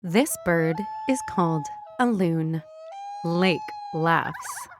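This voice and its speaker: A woman hosting a children's read-aloud, narrating and teaching early reading in simple, deliberate wording. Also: fairly low pitch, huge pitch swings, noisy background. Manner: simple, deliberate wording, instructing young readers. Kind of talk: slow phonics storybook read-aloud for young children.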